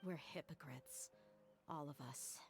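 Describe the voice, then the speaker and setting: A woman's voice: deep voice, unhurried. A woman voicing a world-weary, blunt but sincere desert mercenary in a video game. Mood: bitter reflection. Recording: background game music.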